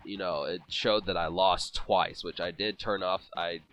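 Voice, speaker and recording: A man's voice: low voice, fairly even intonation, unhurried, a bit bright, faint music behind. A man with a cold, sniffling and congested, rambling through casual commentary on a boxing video game with many fillers and restarts. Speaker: let's play commentator.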